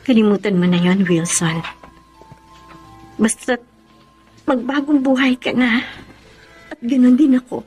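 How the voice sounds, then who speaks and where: An actress playing a gentle, forgiving young woman in a radio drama, speaking earnestly and politely over music. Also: fairly high pitch, steady tone, measured pace, long pauses, slightly rough. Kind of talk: Tagalog radio drama acting.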